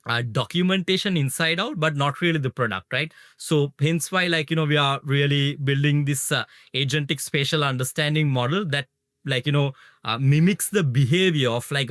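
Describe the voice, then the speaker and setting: A man's voice: a little high, fairly bright tone, no room sound, clean studio sound. Man from Sri Lanka, filler-heavy talk. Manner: many fillers, keeps adding "right?" and "like, you know". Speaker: startup founder, guest. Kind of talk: casual interview talk.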